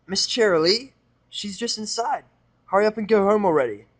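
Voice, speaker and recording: Man doing a brash high-pitched young filly pony. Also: moderate pace. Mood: scowling. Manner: scowling, blunt, excitable.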